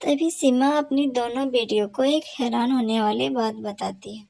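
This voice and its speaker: A woman reading scripted storybook narration for an animated cartoon. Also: a little high, normal speed, creaky, dry recording.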